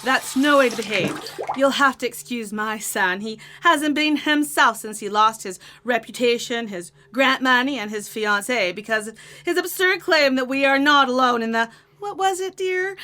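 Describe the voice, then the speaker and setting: Woman playing a fussy, high-voiced meddling mother. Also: expressive, normal speed, pushed and bright. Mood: fussing, apologetic. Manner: fussy, chatty, exasperated. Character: doting, meddling, nagging mother of a grown son. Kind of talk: comic audio drama acting.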